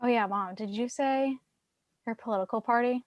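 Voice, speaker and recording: A woman's voice: on the high side, normal speed, no echo, lo-fi sound. A young woman co-host casually asking her parent questions. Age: young adult. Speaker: podcast co-host.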